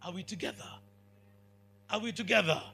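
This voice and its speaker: Kenyan male preacher, high-pitched and bright, commanding, emphatic call-and-response delivery. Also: normal speed, creaky, husky. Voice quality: big commanding voice. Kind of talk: sermon to students.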